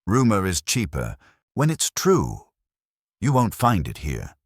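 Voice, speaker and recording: Slick, guarded corporate man read by a male narrator, very low and slightly rough, careful diction, audiobook mystery. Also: huge pitch swings, slow, no echo, studio quality, full character voice. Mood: evasive. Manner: smooth, jargon-laden, careful diction. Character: smooth, guarded male corporate consultant, coolly evasive.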